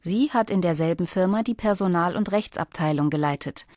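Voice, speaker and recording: Low-voiced woman narrating a documentary. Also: no room sound, clean studio sound. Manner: measured, even.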